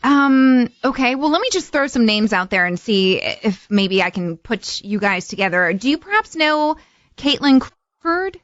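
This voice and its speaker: A woman's voice: a little high, very animated, a little slow, fairly bright tone, dry recording. A woman playing a polite, upbeat party planner on a prank phone call, effusively courteous and businesslike. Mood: chirpy, courteous.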